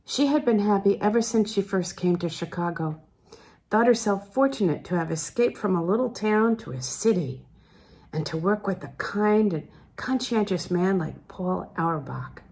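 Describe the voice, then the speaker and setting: A woman narrating literary fiction aloud as an audiobook reader, measured and expressive, letting phrase endings fall away softly. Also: mid-range voice. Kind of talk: scripted literary narration, reading a novel aloud.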